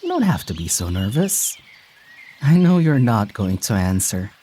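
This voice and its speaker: An actor playing a warm, teasing young traveler in an audio drama who laughs often and speaks sincerely. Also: mid-range voice, big pitch swings, slight background noise, lightly acted. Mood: moved. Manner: playful, laughs often, unhurried.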